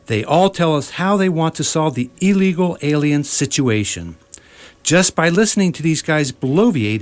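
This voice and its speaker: A man hosting a scripted satirical commentary in a mock-serious, wordy manner. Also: fairly high pitch. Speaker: host.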